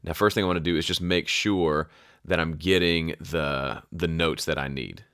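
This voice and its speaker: A man's voice: rumbling low, normal speed, a bit bright, clear, resonant tone, no room sound, clean studio sound. A man hosting an audio production tutorial in casual, conversational talk, thinking aloud as he goes.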